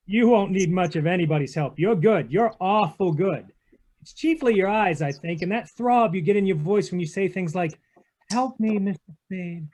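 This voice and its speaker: A man's voice: high voice, moderate pace, darkish tone. A man with an American accent playing a cynical, tough, slangy private detective in a 1940s radio drama. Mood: wry, probing. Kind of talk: 1940s radio drama, hard-boiled narration.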